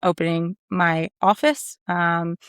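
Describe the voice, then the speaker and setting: A woman's voice: on the low side, steady tone, takes it slowly, clear, resonant tone, no room sound, clean studio sound. A woman in her thirties from Maryland, a podcast guest telling her story in a confident, chatty way. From Maryland, USA. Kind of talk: casual conversation.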